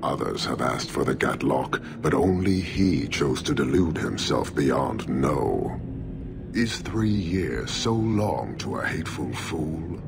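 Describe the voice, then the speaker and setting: A man playing a stern, imposing giant warlord in a video game, speaking in measured, formal, contemptuous pronouncements. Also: very deep voice, expressive, gravelly, background noise, heavily acted. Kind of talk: video game cutscene dialogue.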